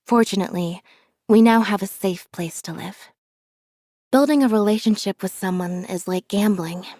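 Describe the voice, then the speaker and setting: A woman voicing a reserved, observant young magician's assistant in a video game, speaking in a calm, measured deadpan. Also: mid-range voice, dry recording, studio quality, natural voice. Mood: calm, detached. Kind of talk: scripted character voice lines.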